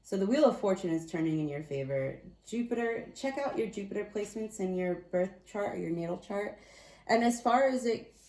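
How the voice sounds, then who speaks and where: A woman with a slightly raspy voice giving a casual tarot card reading, chatty and often thinking aloud as she corrects herself. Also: mid-range voice, expressive, brisk, mellow, roomy sound, low-quality recording.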